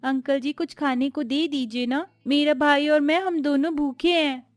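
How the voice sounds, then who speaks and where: A woman's voice: high-pitched, fairly even intonation, unhurried, no room sound, studio quality, soft background music. A woman voicing a hungry orphaned small child in a cartoon, plaintive and tearful. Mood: hungry, pleading.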